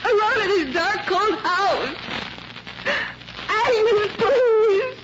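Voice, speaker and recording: A woman's voice: very high-pitched, lively intonation, extremely slow, highs cut off, heavy background noise, exaggerated voice. A woman playing a fragile, terrified young woman in an old radio melodrama, pleading in anguish on a vintage recording. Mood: distressed, pleading. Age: young adult. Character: frightened, fragile twenty-year-old woman near madness. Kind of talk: 1940s radio melodrama acting.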